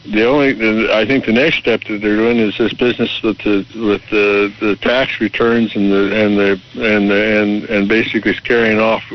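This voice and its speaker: An American man phoning a radio show with his opinions, halting and stammering as he repeats his words. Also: fairly low pitch, flat delivery. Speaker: radio phone-in caller. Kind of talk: political opinion call. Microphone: telephone. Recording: muffled call over shortwave radio.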